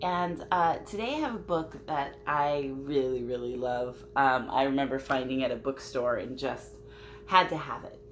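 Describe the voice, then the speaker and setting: A woman reading a picture book aloud to children in an expressive way, chatting to young listeners as she goes. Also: rumbling low, moderate pace, slight background noise. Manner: expressive, addressing young listeners with simple questions. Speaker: children's storybook reader and host.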